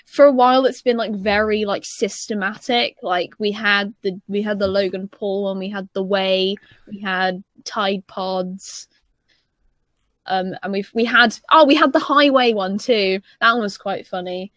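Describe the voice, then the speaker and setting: A woman of twenty chatting casually on a podcast in a rambling, halting way, often repeating herself. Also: mid-range voice, steady tone, a bit bright, dry recording. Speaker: podcast panelist.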